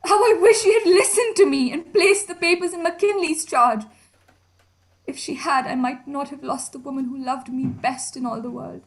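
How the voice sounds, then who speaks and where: A woman's voice: high-pitched, normal speed. A woman playing an earnest, grieving young heiress giving formal, emotional testimony in a radio drama. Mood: anguished.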